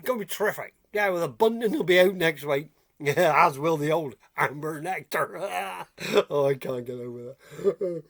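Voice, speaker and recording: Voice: mid-range voice, fairly bright tone. Someone doing a rambling old villager. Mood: cheery. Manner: rambling, repeats himself, chuckles often. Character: chatty old northern village man, cheerful gossip. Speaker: show presenter. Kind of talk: comic character monologue.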